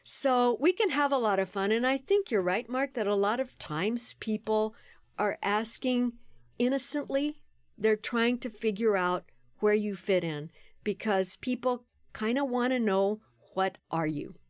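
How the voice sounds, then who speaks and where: A woman guest on a podcast interview, telling stories in an animated way and laughing often. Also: a little high, a little slow, no room sound. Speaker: guest, playwright and performer.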